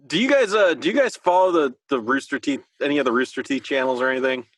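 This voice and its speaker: Lively man in casual podcast chat. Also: mid-range voice, quick pace, dry recording. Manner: informal, profane. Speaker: podcast co-host.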